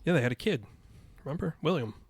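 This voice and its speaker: A man's voice: fairly high pitch, expressive, fast talker, slightly rough, no echo, studio quality. Easygoing man in his forties. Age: 40s. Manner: easygoing, plays straight man, mild teasing. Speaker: podcast co-host. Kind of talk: casual banter.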